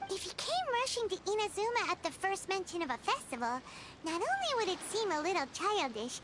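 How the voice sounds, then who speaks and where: A woman voicing a small, chirpy, childlike fairy guide in a video game, squeaky and bubbly. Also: sing-song, fairly quick, background noise. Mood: puzzled, musing. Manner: bubbly, refers to self by name. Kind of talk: video game character dialogue. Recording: loud game music behind voice.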